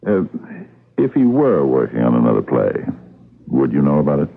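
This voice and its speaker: An actor playing a dogged, wry police captain in a radio mystery, with a gravelly voice and a dry, hesitant, probing manner. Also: male voice, rumbling low, wildly swooping pitch, normal speed, full character voice. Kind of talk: radio mystery drama acting. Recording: muffled lo-fi old radio broadcast.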